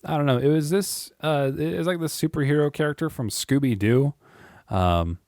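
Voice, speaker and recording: A man with a deep voice, chatting casually and rambling to his viewers, with frequent chuckles and filler words. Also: moderate pace, a little mellow, no room sound, studio quality. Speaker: YouTube creator. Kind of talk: casual monologue to camera.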